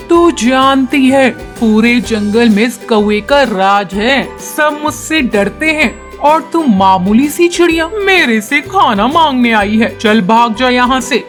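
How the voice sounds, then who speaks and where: Woman voicing a high-pitched bullying cartoon crow. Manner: threatening, boastful. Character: menacing bullying male crow who rules the jungle. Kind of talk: children's cartoon story dialogue. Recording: music behind the voice. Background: music.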